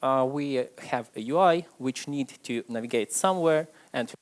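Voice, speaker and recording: Male conference speaker, chatty and self-deprecating, middle pitch, speaking to a live crowd. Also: moderate pace. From Ukraine. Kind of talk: tech conference talk. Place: stage before a live audience.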